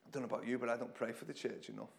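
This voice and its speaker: Man, hoarse croaky voice, casual chatty sermon, repeats himself, church hall. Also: mid-range voice, steady tone, racing. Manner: conversational, self-deprecating, repeats phrases. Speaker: preacher.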